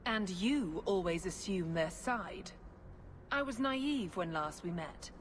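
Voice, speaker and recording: A woman's voice: fairly low pitch, animated, takes it slowly. An actress playing a cold, righteous, scheming female cleric in a video game, speaking formally and with condescension. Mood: cold, condescending. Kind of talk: video game cutscene acting. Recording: clear background ambient noise.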